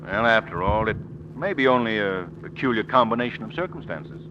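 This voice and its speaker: An actor playing a calm, cultivated gentleman sleuth, polite but firm, in 1940s radio style. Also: fairly low pitch, a little fast, natural voice. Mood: measured. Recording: dull, noisy old-time radio recording.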